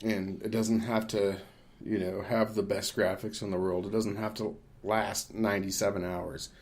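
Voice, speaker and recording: Man, lower-pitched voice, podcast host, casual games discussion, rambling and opinionated. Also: flat delivery, moderate pace, darkish tone.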